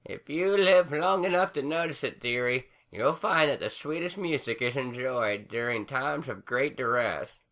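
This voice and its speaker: A man's voice: mid-range voice, animated, normal speed, hardly any pauses, sharp tone, pitched above the performer's own voice. A man voicing an elderly farm mare grandmother with a cracking old-lady voice and a put-on drawl. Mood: fond.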